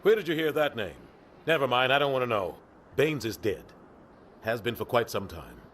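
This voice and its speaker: A man's voice: mid-range voice, expressive, moderate pace, a bit bright, a little hiss or hum, lightly acted. Man playing a seasoned, clipped military officer. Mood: guarded. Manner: matter-of-fact, clipped. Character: seasoned, world-weary male military officer. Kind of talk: video game character acting.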